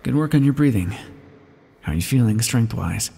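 Husky, sardonic scientist captor played by a man. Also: mid-range voice, animated, normal speed, dark tone, exaggerated voice. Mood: urgent, hushed. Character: weary, sardonic male scientist captor, guarded and brusque. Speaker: audio roleplay performer. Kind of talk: ASMR roleplay monologue, intimate acting. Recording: long reverberant echo.

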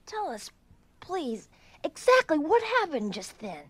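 A woman playing an earnest, perceptive little boy in an audio drama, with a deliberate, precocious intensity. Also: sits high, big pitch swings. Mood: curious, solemn.

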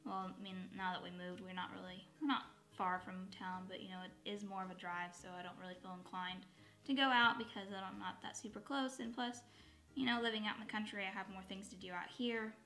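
A woman in her thirties with a slightly raspy, dry voice, chatting in a rambling way while doing her makeup at home, often correcting herself. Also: mid-range voice, fairly even intonation, a little fast, pushed and bright. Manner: rambling, self-correcting, with asides. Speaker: beauty vlogger. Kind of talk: chatty get-ready-with-me. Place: bedroom at home, indoors. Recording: echoey home room.

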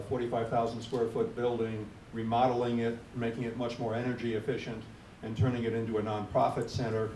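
A man on a conference panel explaining his fund's work in a measured, explanatory way. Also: darkish tone. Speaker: panelist, nonprofit fund representative. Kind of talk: unscripted panel talk. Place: conference room. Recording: echoey room, distant mic, faint rumble.

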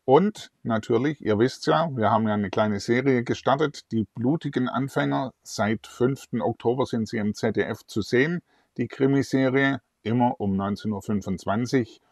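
Middle-aged host, rambling and friendly, dry lapel sound. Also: male voice, mid-range voice, moderate pace, a little mellow, no room sound. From southwest Germany. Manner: chatty, upbeat, rambling. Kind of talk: online celebrity interview. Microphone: lapel mic.

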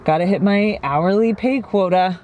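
A woman's voice: medium pitch, moderate pace, a little mellow, slight background noise, lightly acted. A woman playing an eager, easily flustered dog walker who chatters and exclaims in a naturalistic comedy-drama style. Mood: nervous, eager.

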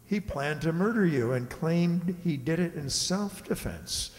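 An older man acting a matter-of-fact police inspector in a radio mystery, measured and reassuring. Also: fairly high pitch, lively intonation, darkish tone. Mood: explanatory. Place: stage in a community hall. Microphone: stand microphone on stage. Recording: echoey hall, live stage performance.

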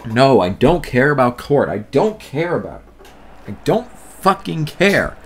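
A man doing a let's play commentary, exasperated, swearing and yelling at the game. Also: medium pitch, very animated, unhurried, slight background noise. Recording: commentary over loud game music. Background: game music.

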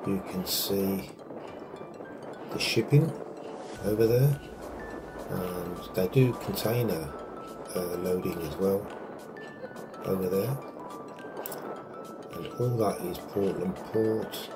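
A man's voice: low voice, takes it slowly, pauses often. A man casually narrating a walking tour outdoors on a windy seaside path, rambling with many fillers into a camera mic. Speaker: vlogger walking tour guide. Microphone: handheld camera mic in wind. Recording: wind noise with background music.

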